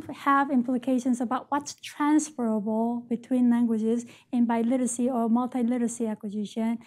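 High-voiced woman giving an academic lecture. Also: dark tone. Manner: explanatory, frequent "right?" checks and self-corrections. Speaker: education professor. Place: lecture stage at a podium.

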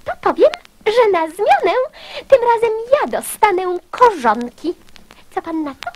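A plucky, fretful little swallow in a children's radio play. The high voice moves slowly through theatrical verse with lively swoops, over a noisy recording. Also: female voice, exaggerated voice. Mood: determined. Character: female swallow, small proud bird, fretful and plucky.

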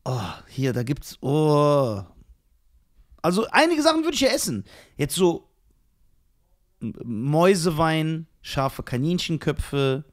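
Animated higher-pitched man bantering. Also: slow pace, pauses often. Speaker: podcast co-host. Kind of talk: casual comedic banter.

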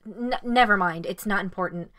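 A woman's voice: mid-range voice, moderate pace, bright, forceful tone, natural voice. A woman voicing a sulky, defensive teenage student in an anime audio drama. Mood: defensive, hesitant.

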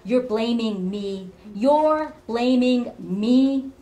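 A woman voicing a cruel, bedridden old mother who snaps and blames her daughter in a shrill, scolding voice. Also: measured pace, darkish tone, close to an everyday voice. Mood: accusing.

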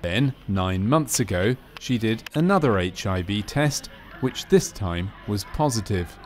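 A man with a fairly low voice narrates a training film. His delivery is scripted, measured and matter-of-fact. There is some ambient noise behind him. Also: expressive.

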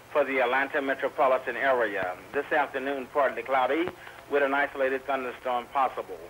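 Male weather announcer, mid-pitched, scripted bulletin reading, old radio recording with faint noise. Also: fairly bright tone. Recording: faintly noisy 1990s weather radio on VHS.

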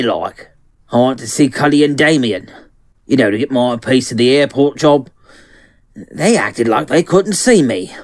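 A man acting as a volatile criminal boyfriend in an audio drama. He talks slowly and slangily, bullying and menacing, at a medium pitch. The sound is dry and close. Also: dry recording. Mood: uneasy, confused. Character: volatile, menacing abusive boyfriend, small-time criminal thug.

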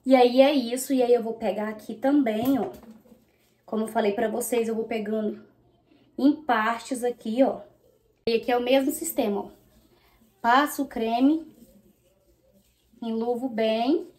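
High-voiced chatty woman styling her hair. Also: steady tone, measured pace, pauses often, a little mellow. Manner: chatty, addresses viewers as "gente", talks while styling her hair. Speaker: beauty vlogger. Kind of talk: casual hair tutorial and product review. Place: small bedroom, indoors.

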